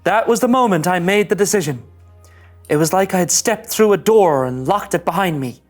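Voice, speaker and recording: A woman voicing an anxious, rule-following young male starship ensign in a scripted animated sci-fi read, fretful and earnest. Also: lowish voice, moderate pace. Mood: grave narrating. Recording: music under the voice.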